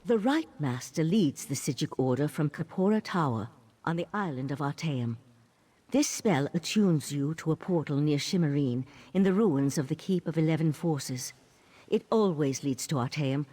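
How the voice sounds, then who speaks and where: An actress playing an earnest, composed mage in a video game, explaining things in a measured way. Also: female voice, low-pitched, lively intonation, warm tone, breathy edge. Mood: composed, thoughtful. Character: earnest, composed female mage of a scholarly order. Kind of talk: video game character dialogue.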